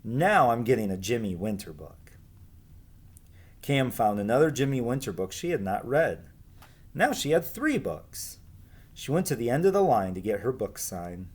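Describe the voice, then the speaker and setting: A man reading a children's book aloud as a teacher would, in an expressive storytelling style with emphatic dialogue. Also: medium pitch, moderate pace, husky.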